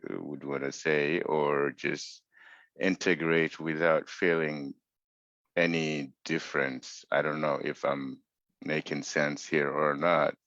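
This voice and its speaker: A man from West Africa with an extremely deep voice speaks slowly and earnestly, pausing to search for words. His voice is dry and muffled, coming over a video call. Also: little pitch movement, a bit bright, dry recording. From West Africa, Mauritania. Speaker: panelist. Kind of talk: online panel discussion.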